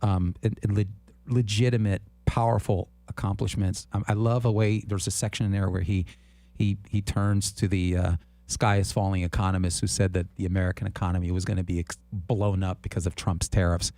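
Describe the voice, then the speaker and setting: A man co-hosting a political talk show, speaking in an animated, indignant way and laughing often. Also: deep voice, a little fast, dry recording. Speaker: co-host.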